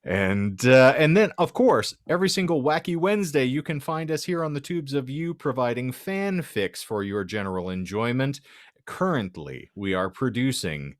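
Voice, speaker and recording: Animated man hosting a comedy podcast. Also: medium pitch, moderate pace, no echo, clean studio sound. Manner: mock-grand announcer phrasing, joking. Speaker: podcast host. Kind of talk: casual podcast sign-off banter.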